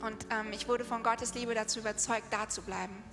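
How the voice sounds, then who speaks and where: A woman's voice: a little high, steady tone, fairly quick, noisy background. A woman interpreting live from a stage, passing on each phrase in turn. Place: large event hall. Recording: hall reverb, music behind, live PA. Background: music.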